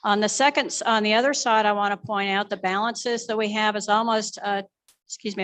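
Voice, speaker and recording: Female finance officer, mid-pitched, delivering a structured report to a members' meeting. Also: fairly bright tone.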